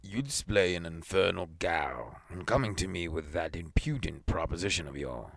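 A man's voice: deep voice, lively intonation, normal speed, gravelly, a bit bright, no room sound, voice pushed down, exaggerated voice. A man voicing a stern, pedantic elderly male astronomer who speaks formally and with indignation. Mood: indignant.

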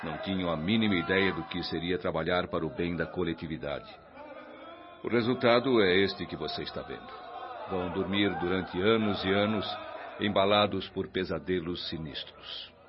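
A man acts out a solemn Brazilian spirit instructor in a radio drama. His voice sits very deep, and he explains with grave, measured authority. The sound is slightly dull and lo-fi. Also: a crowd in the background, close to an everyday voice. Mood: grave, instructive. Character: calm, stern male spirit instructor, mature and didactic.

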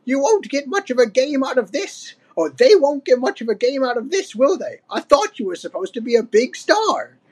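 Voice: sits high, sing-song, normal speed, few pauses, darkish tone, breathy edge, pitched above the performer's own voice, full character voice. A storyteller voicing a cranky, boastful old male ape in a storybook, mocking and grumbling. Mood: scornful, boastful. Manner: snorting, grumbling, scornful. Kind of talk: storybook character reading.

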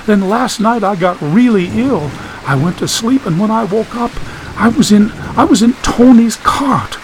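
Man voicing a high, frightened, pleading young woman. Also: animated, unhurried, slight background noise, low-quality recording. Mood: distressed. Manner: plaintive, polite. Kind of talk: audiobook character voice.

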